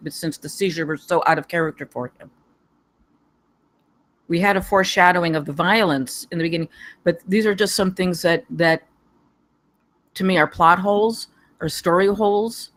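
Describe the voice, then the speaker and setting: A woman giving casual feedback in a hesitant, repetitive way, searching for words. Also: lowish voice. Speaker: table read participant giving feedback.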